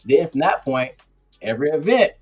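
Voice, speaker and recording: A man's voice: fairly high pitch, moderate pace, husky, highs cut off, faint music behind. A man hosting an interview, telling a story in a loose, slangy way and acting out what people said. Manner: animated, slangy, acts out quotes. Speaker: interview host. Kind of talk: casual storytelling chat.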